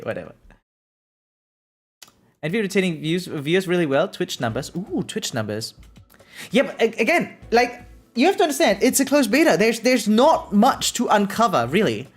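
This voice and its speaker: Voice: medium pitch, big pitch swings, unhurried, pauses often, slightly rough. A game streamer chatting casually and thinking aloud in a rambling way, often checking in with a questioning tag. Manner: rambling, repeatedly tags sentences with "right?". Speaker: variety game live streamer. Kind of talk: casual live stream chat, thinking aloud while gaming. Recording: music playing behind the voice. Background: background music.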